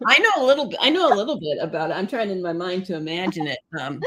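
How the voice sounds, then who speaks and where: A woman's voice: on the low side, big pitch swings, fast, bright, forceful tone. A woman hosting a live stream, chatting casually, laughing often and reading viewer comments aloud. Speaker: livestream host.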